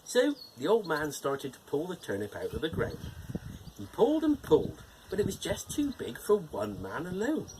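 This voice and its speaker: A friendly, playful gnome reads a bedtime fairytale. His fairly high voice swoops up and down with lively energy, and he slips in teasing jokes as he goes. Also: normal speed, a little hiss or hum, low-quality recording. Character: cheerful male gnome storyteller, friendly and playful. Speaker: storyteller host.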